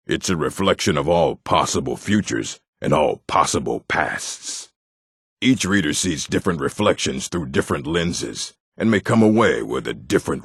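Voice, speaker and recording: An actor playing a gruff, scornful male orc in a fantasy video game, with a rough voice and a weighty, emphatic delivery. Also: no room sound, clean studio sound, exaggerated voice. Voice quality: deep, gravelly, rough.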